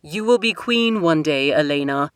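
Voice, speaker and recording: A woman's voice: deep voice, animated, clear, resonant tone, no echo, clean studio sound. A woman voicing a stern older king speaking formally to his daughter. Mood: formal firm. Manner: measured, formal, authoritative.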